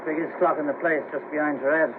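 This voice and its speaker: A man's voice: a little high, fairly even intonation, quick pace, natural voice. An actor playing a blunt, hard-up, henpecked married father who moans in colloquial speech, in a 1970s radio play. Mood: grumbling. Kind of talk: 1970s radio drama acting. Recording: very noisy, tinny 1970s radio cassette.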